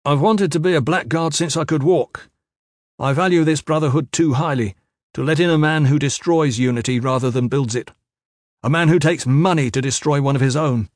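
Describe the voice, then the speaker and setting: A man voicing a resolute young warrior trainee in a fantasy audiobook, speaking clearly, coolly and without apology. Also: a little high, expressive, normal speed, no echo, clean studio sound, pitched above the performer's own voice. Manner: loud, clear, cool, unapologetic. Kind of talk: dramatised fantasy audiobook dialogue.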